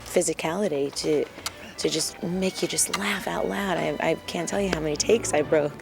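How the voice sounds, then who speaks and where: Gravelly-voiced woman telling set anecdotes. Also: sits low, moderate pace, over music. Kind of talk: interview anecdote.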